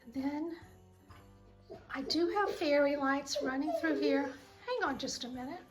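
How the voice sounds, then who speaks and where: A middle-aged woman with a voice made raspy by a sinus cold, chatting fondly and rambling as she shows her collection in her home kitchen. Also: high voice, measured pace, music in the background. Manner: chatty, fond, meandering. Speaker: vlog host. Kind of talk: casual home tour chat.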